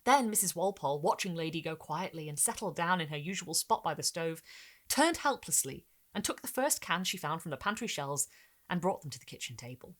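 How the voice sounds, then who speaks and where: A woman reading a gothic short story expressively, in a measured, dramatic way with careful phrasing. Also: on the low side, lively intonation, a bit bright. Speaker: narrator and host.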